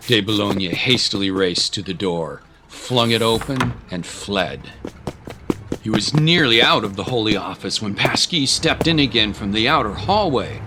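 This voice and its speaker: A man with a low voice reads dramatic fiction as a narrator. The delivery is scripted storytelling, and music plays clearly underneath. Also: animated, measured pace.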